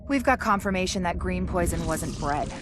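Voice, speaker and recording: A woman's voice: fairly low pitch, animated, normal speed, noisy background, lightly acted. A woman playing a dry, sardonic virologist. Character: dry-witted female virologist briefing an agent. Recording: game cutscene with music behind.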